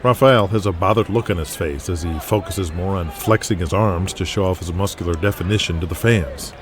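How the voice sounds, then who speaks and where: A man's voice: low voice, brisk, crowd noise. A man narrating a scripted audio drama.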